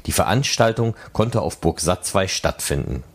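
A man's voice: sits low, animated, moderate pace. A man presenting and reporting as the host of a fan podcast. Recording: noisy, ambient background sound.

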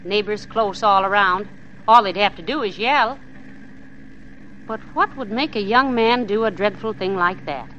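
A woman playing an anxious, soft-hearted wife and mother in an old radio suspense drama. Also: medium pitch, unhurried, lightly acted. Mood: worried. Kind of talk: 1940s radio suspense acting. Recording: muffled, noisy old radio recording.

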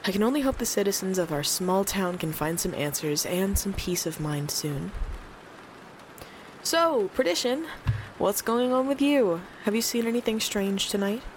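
A woman's voice: mid-range voice, animated, moderate pace, slight background noise, lightly acted, poor audio. A woman playing a concerned small-town late-night radio host in an eerie audio drama. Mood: concerned, engaging.

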